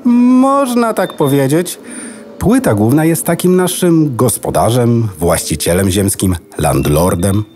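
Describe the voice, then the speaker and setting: A man's voice: mid-range voice, animated, takes it slowly, a little mellow, a little hiss or hum. A man playing a proud, chatty know-it-all computer hard drive in a children's radio play. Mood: pedantic, chatty. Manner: pedantic, boastful, goes off on tangents.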